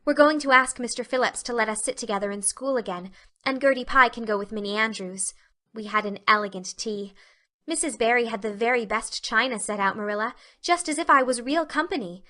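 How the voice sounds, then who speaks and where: Bright-voiced, effusive young girl, voiced by a woman. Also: a little high, a little fast. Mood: blissful, earnest. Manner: effusive, grandiloquent, earnest. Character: dreamy, excitable, talkative young orphan girl. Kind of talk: dramatic audiobook reading.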